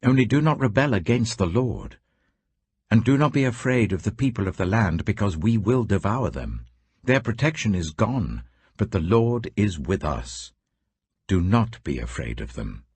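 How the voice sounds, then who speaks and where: British male narrator as faithful ancient leader, low, impassioned, expressive, scripture audiobook. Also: normal speed, slightly rough, dry recording. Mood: urgent encouragement. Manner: urgent, impassioned. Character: earnest, faithful Israelite leader pleading with conviction.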